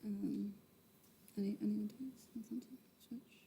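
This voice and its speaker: A young woman from Manchester, a quiz team captain, conferring quickly and in hushed tones with her teammates at a desk mic in a television studio. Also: mid-range voice, steady tone, background noise. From Manchester, England.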